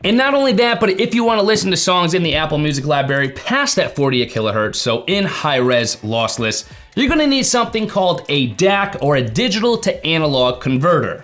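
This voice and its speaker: A man's voice: fairly high pitch, a bit bright. A man presenting a tech explainer video in a chatty, informal and emphatic way. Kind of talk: explainer vlog to camera.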